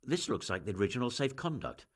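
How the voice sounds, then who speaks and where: An actor playing a shrewd, learned official in a video game, musing and authoritative. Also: male voice, mid-range voice, very animated, fast, slightly rough. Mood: pondering. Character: learned, shrewd male official leading an investigation.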